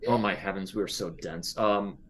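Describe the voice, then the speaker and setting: A man's voice: low-pitched, fairly even intonation, moderate pace, slight background noise. A man talking casually as a player in a tabletop role-playing game.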